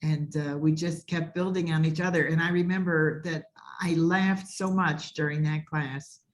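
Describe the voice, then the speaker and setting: A woman's voice: deep voice, steady tone, moderate pace. A woman casually recalling a workshop as a participant on a video call. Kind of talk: casual recollection.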